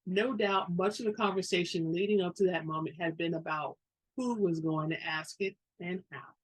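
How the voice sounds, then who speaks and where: A woman narrates a story in a dramatic reading style. Her voice sits a little low and has a husky grain, as in an audiobook. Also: fairly even intonation, normal speed, rough recording.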